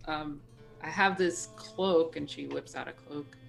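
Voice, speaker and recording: A woman playing a tabletop game, telling her character's story in a soft, wistful way. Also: deep voice, unhurried, vocal fry, faint music behind, rough recording. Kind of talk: in-character roleplay.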